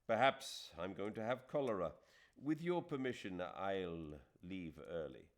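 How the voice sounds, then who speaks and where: A man voicing a moody, proud and sensitive Indian gentleman in an audiobook, with emphatic, fretful delivery. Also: low voice, lively intonation, a little slow, voice pushed up. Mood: uneasy.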